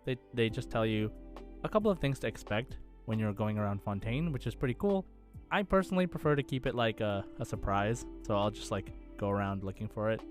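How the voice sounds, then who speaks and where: A man's voice: lowish voice, big pitch swings, fairly quick, faint music behind. A man co-hosting a casual gaming podcast, talking in a rambling, informal way. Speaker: podcast co-host. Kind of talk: casual chat about video game news.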